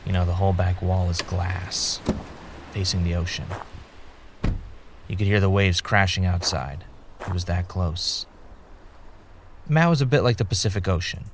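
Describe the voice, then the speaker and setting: Podcast host, male actor as a guarded ex-contestant; lowish pitch, understated audio drama delivery, noise behind. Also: normal speed, pauses often, close to an everyday voice. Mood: reflective. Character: male podcaster, former reality show contestant, guarded and wry. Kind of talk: audio drama, naturalistic dialogue and narration.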